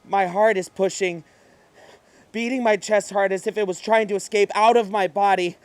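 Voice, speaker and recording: A man performing a dramatic horror story monologue as a terrified, exhausted madman, with breathy, halting, theatrical delivery. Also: high voice, steady tone, no echo, natural voice. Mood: fearful, weary. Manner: breathy, halting, theatrical, swinging from hush to outburst. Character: terrified, exhausted madman narrator hunted by demons.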